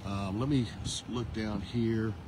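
Older guy reporting live off his phone in a parking lot, lots of ums, kinda low voice, traffic noise all around. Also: fairly even intonation. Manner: unscripted, halting, repeats words with many fillers. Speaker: field reporter. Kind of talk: live on-scene news report. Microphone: phone held by the reporter. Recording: noisy outdoor phone recording. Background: outdoor traffic noise.

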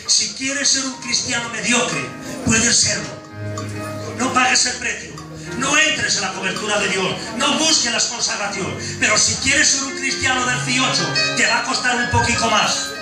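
A middle-aged pastor preaches to a congregation, high-pitched and fervent, spicing his sermon with homely diminutives. He is heard a distance in a booming, echoey church hall. Music plays softly behind him. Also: moderate pace. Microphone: handheld mic, filmed from the pews.

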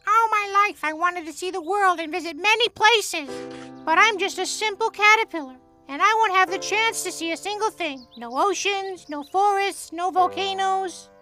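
A woman's voice: extremely high pitch, normal speed, sharp tone, faint music behind. A woman voicing a small, wistful caterpillar in a children's cartoon, innocent and plaintive.

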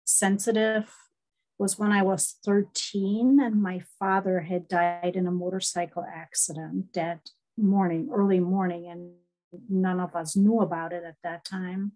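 A woman's voice: fairly low pitch, little pitch movement, measured pace, low-quality recording. Woman on a headset call. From East Germany. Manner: warm storytelling, frequent laughs and fillers. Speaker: guest, horse body-work therapist. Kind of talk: video call interview. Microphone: headset on video call.

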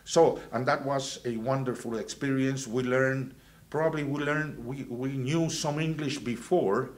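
A man, from Venezuela and raised in Guatemala, recalling his student years in a halting way with many fillers and restarts. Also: medium pitch, fairly even intonation. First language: Spanish. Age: 70s. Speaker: alumnus interviewee. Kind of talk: reminiscing interview. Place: indoors, plain backdrop.

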